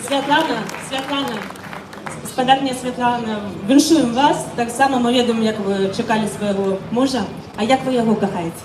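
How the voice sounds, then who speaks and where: A woman's voice: a little high, steady tone, moderate pace, background noise. A woman radio journalist asking polite questions at a rally. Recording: echoey, from far off, loud crowd.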